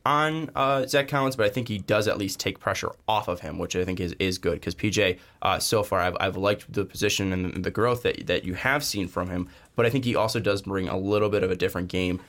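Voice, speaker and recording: A man hosting a casual sports podcast, talking with frequent fillers and pauses. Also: low voice. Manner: relaxed, hesitant, frequent fillers. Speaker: podcast host.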